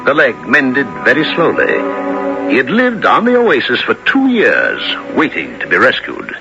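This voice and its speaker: Muffled old radio sound with music; seasoned, wry gentleman adventurer, swooping theatrical delivery. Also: medium pitch, wildly swooping pitch, moderate pace. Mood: storytelling. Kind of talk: 1970s radio adventure acting and first-person narration.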